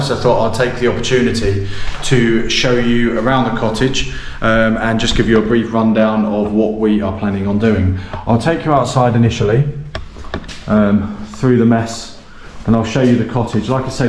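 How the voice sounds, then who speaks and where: Male vlog host, lowish voice, halting casual tour full of fillers, echoey empty rooms. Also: darkish tone, slight background noise. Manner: hesitant, many ums, self-correcting. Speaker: renovation channel host. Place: gutted small cottage, indoors and out.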